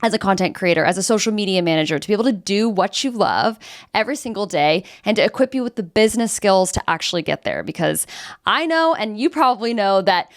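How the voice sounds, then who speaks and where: A woman's voice: mid-range voice, animated, quick pace, no room sound, clean studio sound. An American woman co-hosting a podcast, addressing listeners in an enthusiastic, encouraging, conversational manner. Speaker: podcast co-host.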